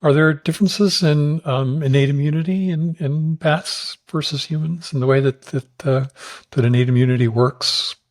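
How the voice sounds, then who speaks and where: A man's voice: fairly high pitch, fairly even intonation, normal speed, hardly any pauses, darkish tone. A man hosting a podcast, asking brief, plain interview questions. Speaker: podcast host.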